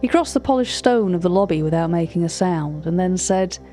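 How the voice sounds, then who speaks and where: An actress as a cultured woman narrating a mystery audio drama. Her voice is mellow and on the low side, with faint music underneath. She speaks formally and precisely, with dry, wry opinion. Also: animated, brisk, natural voice. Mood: hushed unease, wry. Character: cultured, wry art-catalogue narrator recounting uncanny encounters. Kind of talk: scripted narration of an audio fiction.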